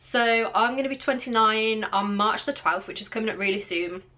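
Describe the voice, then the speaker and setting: A woman's voice: fairly high pitch, moderate pace, bright, forceful tone, slight background noise. A woman in her late twenties from Birmingham, England, with a local accent, chatting to camera in a casual Q and A from her bedroom, rambling with lots of fillers. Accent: Birmingham English. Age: 20s. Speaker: vlogger. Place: bedroom with clothes rail, indoors.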